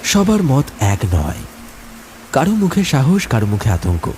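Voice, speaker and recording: A man's voice: mid-range voice, wildly swooping pitch, unhurried, darkish tone, slight background noise. A man narrating a scripted horror story in a dramatic, suspenseful manner.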